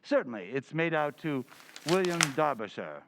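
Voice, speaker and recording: An actor playing an earnest, deferential police inspector in an old-time radio drama, methodical, formal and a little hesitant. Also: male voice, fairly high pitch, very animated, moderate pace, creaky, noisy background. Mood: matter-of-fact.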